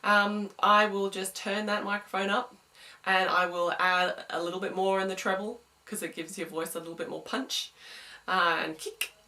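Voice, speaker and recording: A young woman with a smooth, bright voice talks through singing tips in a relaxed, chatty way. She stands a little back from the microphone, so her voice sounds slightly distant. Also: mid-range voice, normal speed. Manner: chatty, explanatory, with sung demos. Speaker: singing teacher. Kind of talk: vocal lesson to camera.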